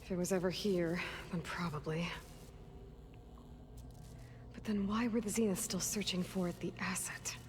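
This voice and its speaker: An actress playing a young woman explorer in a video game, focused and curious, with a hushed, matter-of-fact delivery. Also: on the low side, unhurried, long pauses, noisy background, lightly acted. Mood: pensive, focused.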